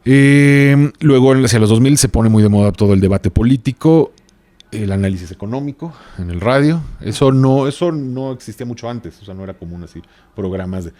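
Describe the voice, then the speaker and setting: A man hosting a podcast, talking casually and haltingly, thinking aloud and adding little tag questions. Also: medium pitch, lively intonation, warm tone, no echo. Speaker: podcast host. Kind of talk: casual podcast conversation from notes.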